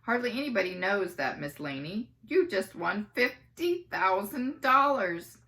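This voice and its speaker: A woman reading aloud and voicing a loud, excitable male TV game show host with booming showman patter. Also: unhurried, gravelly, exaggerated voice. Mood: excited.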